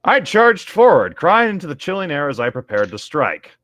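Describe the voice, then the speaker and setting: Male co-host for a fan fiction reading show, lively pitch swings, casual riffing, close dry recording. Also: moderate pace, no echo. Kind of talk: casual riffing and read-aloud narration.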